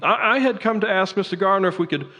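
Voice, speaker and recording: An actor playing a fussy, pompous astronomy professor in a radio drama, speaking formally and hesitantly. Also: male voice, high voice, fast talker, bright, forceful tone. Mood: flustered. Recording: reverberant, echoey hall sound.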